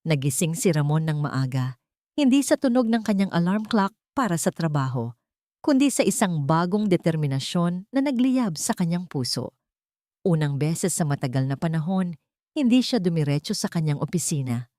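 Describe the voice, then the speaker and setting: Low-voiced woman narrating with lively, swooping pitch. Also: normal speed, no room sound, clean studio sound. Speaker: storyteller narrating a romantic family drama. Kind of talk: scripted dramatic story narration.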